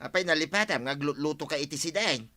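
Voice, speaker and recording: A man's voice: a little high, fairly quick, bright, forceful tone, dry recording, studio quality. A man with an Ilocano accent playing an impatient, nagging household member in an audio drama. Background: clear background music.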